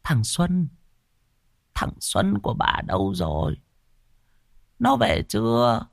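A man voicing a frail elderly woman risen from her deathbed, confused and fretful, with a thin, breathy, quavering voice. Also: mid-range voice, wildly swooping pitch, slow, a bit bright, dry recording, studio quality, voice pushed up, heavily acted. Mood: bewildered, pleading.

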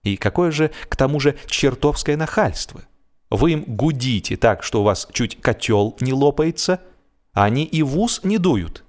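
A man's voice: medium pitch, expressive, normal speed. A man reading a humorous story as scripted audiobook narration, expressively, drawing out the ends of his phrases.

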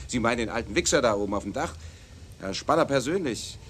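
An actor playing a cocky pimp in a radio play, mocking and wheedling by turns, with theatrical pleas. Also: male voice, mid-range voice, lively intonation, brisk, a bit bright, slight background noise, natural voice. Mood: mocking, indignant. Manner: mocking, glib, theatrical pleading. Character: cocky, wheedling pimp pleading with and sneering at police.

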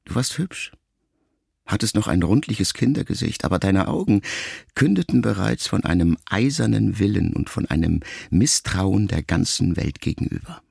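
A man in a radio production reading a letter aloud as literary narration, quiet and reflective, with phrase endings that trail off softly. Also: low-pitched, expressive, moderate pace, creaky, husky, dry recording, clean studio sound.

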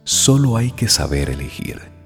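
Deep, echoing, brooding narrator. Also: male voice, very animated. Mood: solemn. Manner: measured, solemn. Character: brooding, enigmatic male narrator pondering fate. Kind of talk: dramatic radio narration. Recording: long reverb, soft guitar behind.

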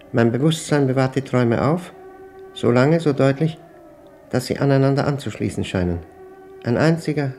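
A man acting a brooding mathematician in a German radio play, reading his diary in terse, deliberate, measured fragments. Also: medium pitch, warm tone, a little hiss or hum, natural voice. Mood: brooding, reflective.

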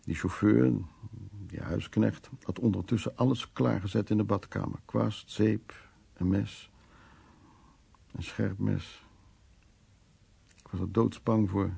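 Radio drama role: troubled man telling his bitter past, mellow but husky, halting storyteller delivery. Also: lowish voice, fairly even intonation, heavily acted. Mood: brooding, anguished. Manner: halting, storytelling, acting out quoted remarks. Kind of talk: Dutch radio play, intimate dramatic monologue and dialogue.

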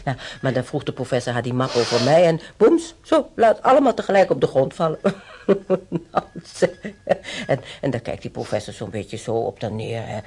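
A woman's voice: very deep voice, sing-song, moderate pace, a little mellow, full character voice. A woman playing a good-humoured, teasing older patient who gossips in a radio drama, on a slightly noisy recording. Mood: amused gossip. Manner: chuckling, gossipy.

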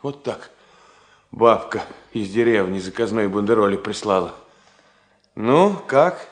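Radio drama, 1970 Soviet: bossy but tender suitor, very animated, playful teasing, long reverberant tail. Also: male voice, fairly low pitch, a little slow. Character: tender, bossy, teasing man courting his sweetheart. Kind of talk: Soviet radio play acting.

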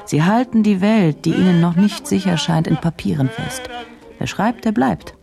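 An actress playing an intense, probing female journalist in a radio drama, speaking in deliberate, clipped, analytical phrases. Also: lowish voice, vocal fry, music in the background. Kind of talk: German radio drama acting.

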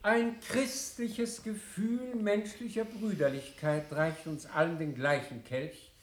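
An actor in a radio play voicing a pompous senior diplomat who delivers solemn, florid formal speeches. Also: male voice, high voice, level tone, slow. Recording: echoey room.

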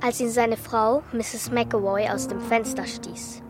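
A young girl in a radio play, with a high voice. She is matter-of-fact and insistent, answering frankly and refusing to budge. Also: fairly even intonation, slow, music in the background. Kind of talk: radio drama acting.

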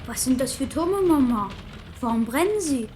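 A woman's voice: high voice, animated, normal speed, darkish tone, slight background noise. A woman acting an eager, naive young French prince, a small boy, in a German radio play. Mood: curious. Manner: naive, earnest, questioning.

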